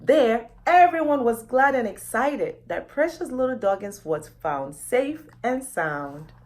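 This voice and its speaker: A woman reads to children as an author at storytime. Her voice is on the high side and moves up and down freely, with dramatic delivery and direct questions to the listeners. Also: lively intonation, takes it slowly, darkish tone. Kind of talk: expressive storytime reading addressed to children.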